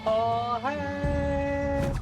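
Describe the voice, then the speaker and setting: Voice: high-pitched, little pitch movement, very slow. A streamer giving casual reactions to a video game in a playful, slangy way, drawing words out. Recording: loud game music behind.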